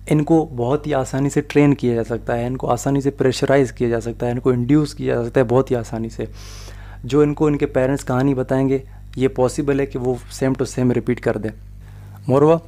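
Mellow-voiced Pakistani man teaching law. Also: mid-range voice. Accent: Pakistani Urdu. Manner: mixes Urdu-inflected Hindi with English legal terms, steady explaining. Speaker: law lecturer. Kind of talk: explanatory lecture over slides.

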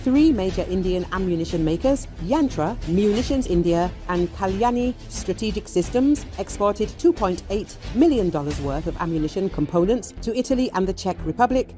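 A woman reading a scripted news voice-over in an even, measured newsreader manner. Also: medium pitch. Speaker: news narrator. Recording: music bed behind voice-over.

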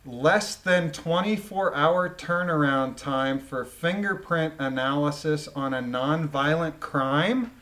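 A man reading a document aloud and adding informal, emphatic commentary with halting phrasing. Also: fairly high pitch, fairly bright tone. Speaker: commentator, former police officer.

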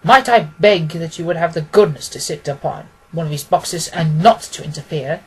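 A man's voice: a little high, normal speed, breathy edge, poor audio. A man voicing a clever, commanding detective in a storybook, confident, severe and precise.

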